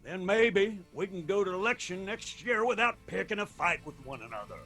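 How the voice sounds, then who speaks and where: A commanding Appalachian mountain patriarch in a radio drama. His voice is high and bright, and he lays down terms with calm, wry pride. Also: normal speed, faint music behind, full character voice.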